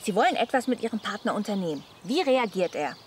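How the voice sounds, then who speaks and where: An actress playing a chatty, bossy middle-aged camper wife in a radio comedy, brisk and teasing. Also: female voice, medium pitch, very animated, a little hiss or hum, natural voice. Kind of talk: radio comedy drama acting.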